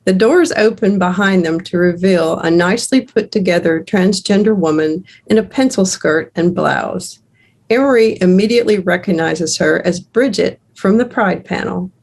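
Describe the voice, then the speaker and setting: A woman's voice: fairly low pitch, normal speed, vocal fry, a little mellow. A woman reading screenplay stage directions as scripted narration.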